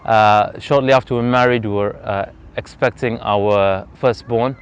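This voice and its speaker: A man from Zambia speaks in a smooth, resonant voice pitched on the low side. He tells his story earnestly and reflectively, at a measured pace. The recording is a little dull and lo-fi. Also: steady tone. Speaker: interview guest. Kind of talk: personal story in an interview. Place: outdoors on a freezing day. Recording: slightly dull lo-fi outdoor recording.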